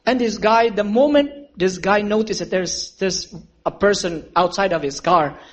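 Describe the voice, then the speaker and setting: A man's voice: high voice, moderate pace. A man preaching a sermon, telling a story and calling his listeners friends. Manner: earnest, addresses listeners as friends, asks for amens.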